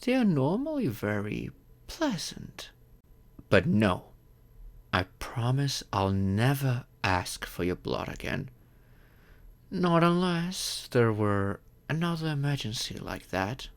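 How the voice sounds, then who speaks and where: Casting: male actor for a centuries-old gentleman vampire in audio roleplay, kind and courteous, unhurried delivery with widely moving pitch. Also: sing-song. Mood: earnest, wistful. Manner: gentle, reassuring, unhurried with thoughtful pauses. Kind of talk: scripted audio roleplay, addressing the listener.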